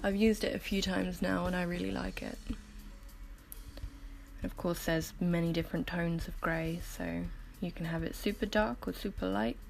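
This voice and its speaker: A woman with a croaky just-woke-up voice, giving a chatty, informal voiceover as an artist explaining her process. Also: on the low side, moderate pace. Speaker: artist making painting process videos. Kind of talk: casual voiceover commentary. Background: music.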